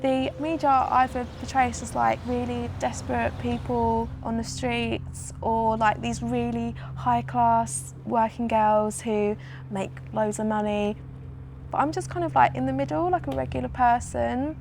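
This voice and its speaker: A young woman with a fairly high voice and a gravelly, fry-laden edge. She talks plainly and casually, leaning on "like" as a filler. A faint ambient hiss sits underneath. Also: level tone, a little slow, a little mellow. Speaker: interviewee. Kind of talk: documentary interview.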